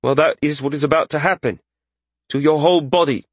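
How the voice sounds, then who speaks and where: Man voicing an anxious, formal young mage, pitched up. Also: fairly quick, slightly rough, dry recording. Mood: tense. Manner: formal, careful wording. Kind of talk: audiobook character dialogue.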